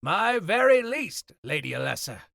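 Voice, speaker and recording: A man voicing a courteous ship captain who speaks loudly and formally. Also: high voice, very animated, normal speed, gravelly, dry recording, studio quality, pitched above the performer's own voice, heavily acted. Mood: hearty. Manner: loud, gracious, formal.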